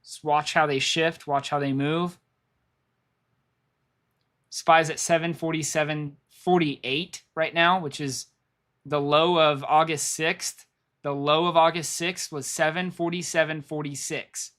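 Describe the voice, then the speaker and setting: A man with a slightly raised pitch talks viewers through trading charts on a live stream. His voice is going hoarse, and he rambles, repeats phrases and thinks out loud as he clicks around. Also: fairly even intonation, unhurried. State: losing his voice. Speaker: stock market streamer.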